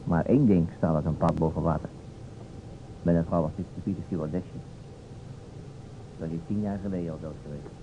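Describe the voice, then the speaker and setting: A man's voice: low-pitched, fairly even intonation, a little fast, long pauses. An actor playing a tall, thin, sardonic policeman in an old radio play. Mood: mocking, pompous. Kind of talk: old-time Dutch radio play acting. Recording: dull old radio recording, faint hiss.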